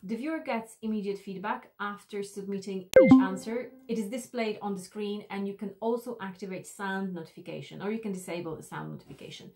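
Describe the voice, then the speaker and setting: A woman's voice: mid-range voice, brisk, hardly any pauses, heavy background noise. Woman explaining in an instructional tutorial. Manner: explanatory, addressing the viewer directly. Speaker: e-learning instructor.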